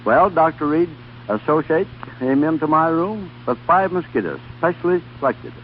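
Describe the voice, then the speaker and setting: A man's voice: fairly high pitch, expressive, moderate pace, dull audio. An older man from Ohio giving plain, slightly stiff scripted answers as a guest on a vintage radio show. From Ohio, USA. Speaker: radio guest, former soldier. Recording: thin, noisy vintage radio broadcast.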